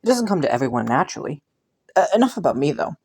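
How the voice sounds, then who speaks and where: A woman playing a low-voiced, courtly young prince. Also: very animated, rapid-fire, husky, exaggerated voice. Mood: amused, teasing. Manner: polite, hesitant, softly teasing. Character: kind, playful, rambling young prince with courtly manners. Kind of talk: intimate roleplay audio drama. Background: faint music.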